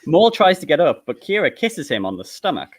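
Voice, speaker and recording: A man's voice: a little high, big pitch swings, a little fast. A man reading stage directions as narrator in a live comedic table read, with an amused manner.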